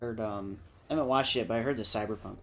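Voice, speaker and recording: A man's voice: lowish voice, fast talker, faint music behind. A man giving blunt opinions as a panelist in a casual chat about movies and games.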